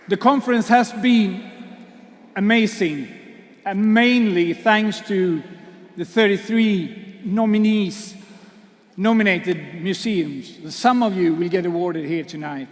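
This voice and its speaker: High-voiced man hosting an awards ceremony in a hall. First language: not English. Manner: joking, self-deprecating, halting fillers. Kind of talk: speech to an audience. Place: large hall. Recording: long hall reverb.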